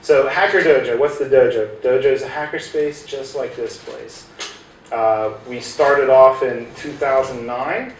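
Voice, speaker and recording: A man's voice: medium pitch, measured pace. American guy giving a chatty talk, lots of uhs, picked up from across a roomy hall. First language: English. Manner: chatty, many "uh" fillers and restarts. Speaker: guest speaker at a hackerspace. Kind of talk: informal tech presentation to a small audience. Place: small room in a hackerspace with projector. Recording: roomy distant room sound, faint hiss.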